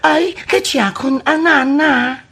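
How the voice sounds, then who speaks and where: An actor playing an excitable, easily offended villager in an Ilocano radio comedy drama, with an Ilocano accent and dramatic exclamations. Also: high-pitched, slow pace, creaky, heavily acted. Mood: excited.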